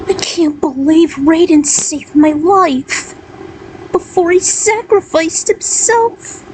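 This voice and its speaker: Lady acting out an earnest young fighter woman in a story, weepy and grateful, higher voice, noisy. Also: lively intonation, slow, darkish tone, heavily acted. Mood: tearful gratitude. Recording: clearly noisy background.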